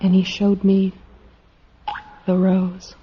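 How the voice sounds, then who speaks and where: A woman's voice: mid-range voice, completely flat delivery, takes it slowly, background noise, natural voice. An actress playing an earnest, dedicated woman psychologist in a dystopian radio drama. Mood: reflective, tender. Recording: dull vintage radio recording, music behind.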